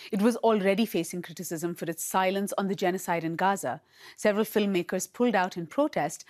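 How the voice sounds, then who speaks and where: A woman reporter giving a scripted news narration in a crisp, measured manner. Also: fairly low pitch, a little mellow.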